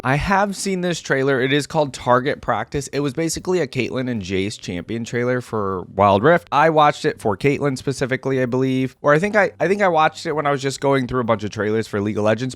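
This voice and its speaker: A man's voice: mid-range voice, dry recording. Casual male reaction host thinking aloud. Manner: conversational, thinking aloud, hedging his opinions.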